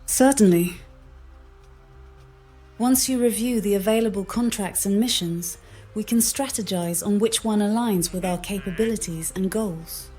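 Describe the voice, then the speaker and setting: A woman's voice: mid-range voice, measured pace, mellow, soft background music. A synthetic woman's voice speaking as an AI copilot, giving composed, formal, fully formed replies.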